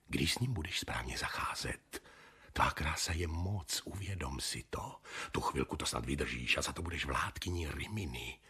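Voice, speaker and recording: A very deep, heavily husky voice belongs to a cold, calculating noble father. He pushes his argument with quiet authority, often sinking into a hoarse whisper. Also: animated, heavily acted. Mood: persuading. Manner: coldly persuasive, often dropping to a hushed whisper. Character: stern scheming noble father, older man. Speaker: father of the bride. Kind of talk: radio drama acting.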